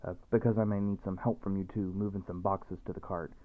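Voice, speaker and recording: A man's voice: low-pitched, level tone, fast talker, narrow, tinny audio, lightly acted. A man playing a friendly, practical travel companion in a fantasy audio drama, informal and folksy, with faint noise behind. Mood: friendly, casual. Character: friendly male mission companion, casual, practical.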